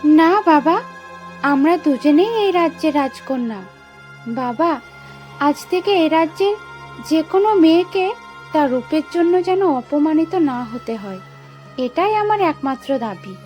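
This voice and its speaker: A woman acting a very high, gentle princess. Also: expressive, unhurried, pauses often, faint music behind. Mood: noble resolve. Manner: earnest, composed, consoling. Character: gentle, forgiving young princess with noble resolve. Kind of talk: fairy-tale cartoon acting.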